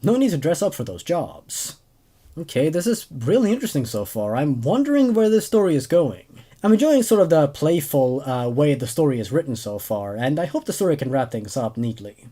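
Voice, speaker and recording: A young man narrating a story as host, reading expressively and in a measured way with dramatic pauses. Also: fairly high pitch, big pitch swings, mellow, husky. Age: young adult. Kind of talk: story narration with casual commentary.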